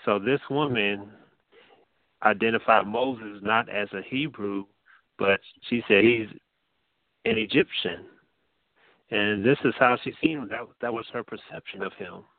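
A man's voice: fairly low pitch, unhurried, long pauses, no echo. A man preaching an informal sermon, talking conversationally with frequent fillers and restarts. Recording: dry, tinny phone-like sound.

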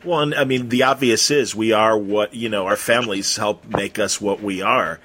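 A man hosting a casual conversational interview, feeling his way through questions with halting, circling phrasing. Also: on the low side, fairly even intonation, a bit bright. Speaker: interviewer, host.

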